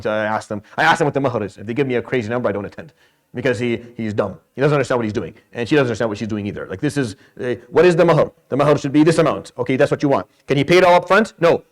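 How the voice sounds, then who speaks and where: Male lecturer, from Syria, quick pace, fairly high voice, blunt informal classroom teaching, Arabic terms mixed in. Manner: blunt, emphatic, explains with role-played questions and answers; mixes Arabic terms into English. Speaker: lecturer in Islamic law.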